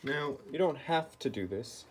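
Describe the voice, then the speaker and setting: A man's voice: mid-range voice, lively intonation, a little slow. A man giving a hands-on repair tutorial, explaining things step by step. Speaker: repair video host. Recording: clearly noisy background.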